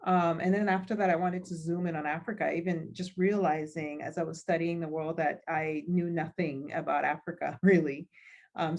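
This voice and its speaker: A woman's voice: low voice, a little mellow. A woman in her forties from Cameroon, a nonprofit leader talking thoughtfully as a guest over a video call, with frequent ums. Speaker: guest, nonprofit school CEO. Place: home office with chalkboard behind. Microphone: video call laptop mic.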